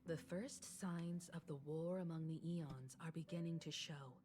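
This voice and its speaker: An actress voicing a composed, knowledgeable woman mentor in a story game, speaking in measured, solemn exposition. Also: low voice, fairly even intonation. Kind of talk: scripted game story dialogue. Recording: loud background music. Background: game music.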